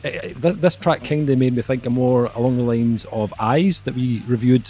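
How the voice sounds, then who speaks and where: A man's voice: medium pitch, mellow, faint music behind. A young man reviewing an album on a podcast, talking casually with lots of ums and joking at himself. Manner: halting, lots of ums, jokey self-mockery.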